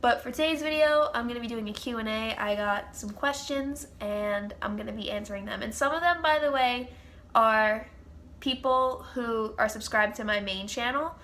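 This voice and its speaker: A woman's voice: on the high side, normal speed, a bit bright. A young woman with a congested, stuffy nose, chatting as host of her own Q&A vlog with gushing fan enthusiasm. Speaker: channel host. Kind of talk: casual Q&A vlog chat.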